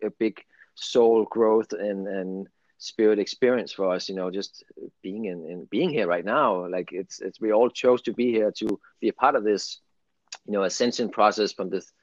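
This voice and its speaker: Low-voiced Danish man, slightly muffled. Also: steady tone, normal speed, no room sound. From Denmark. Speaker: guest, spiritual channel. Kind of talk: casual spiritual conversation.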